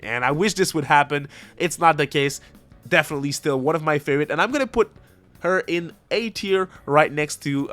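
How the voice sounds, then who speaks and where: Male video host, voice a bit on the high side, casual opinionated commentary, emphatic and conversational, light music underneath. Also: fairly bright tone. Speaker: host of a video game ranking video.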